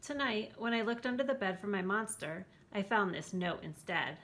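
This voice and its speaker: Woman, school read-aloud, medium pitch, bedtime storybook narration. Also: lively intonation, normal speed, a bit bright. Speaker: school staff reading aloud.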